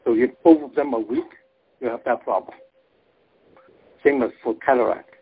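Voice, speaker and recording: A man's voice: mid-range voice, normal speed, husky. A man explaining energy healing to a group in plain, simple phrasing, heard over a phone-line webinar.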